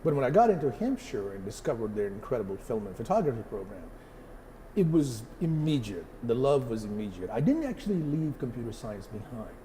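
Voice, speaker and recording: A man's voice: mid-range voice, very animated, brisk, warm tone, a little hiss or hum, poor audio. A middle-aged man from Pakistan, a photographer answering interview questions thoughtfully, with many fillers and restarts.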